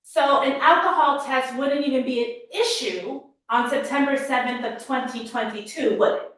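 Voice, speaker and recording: A woman's voice: high voice, normal speed, a bit bright. A woman prosecutor cross-examining a witness in court with pointed, leading questions. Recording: very echoey courtroom audio.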